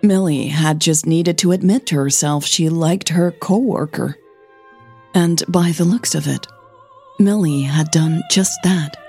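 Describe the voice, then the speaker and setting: Slow, mellow woman narrating a story. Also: sits low, creaky, slightly rough. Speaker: narrator of fiction audio drama.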